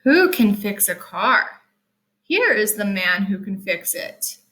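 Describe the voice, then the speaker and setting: A woman reading as a cheerful, curious little girl in a picture book, in simple, carefully spaced, expressive phrases. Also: mid-range voice, slow pace, heavily acted. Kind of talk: storybook read-aloud.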